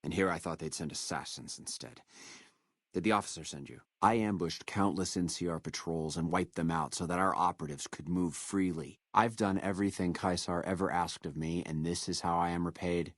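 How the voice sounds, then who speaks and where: An actor playing a proud, menacing captured military officer in a video game, with a measured, contemptuous delivery. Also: male voice, low voice, steady tone, no echo, clean studio sound. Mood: bitter, proud. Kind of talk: video game character dialogue.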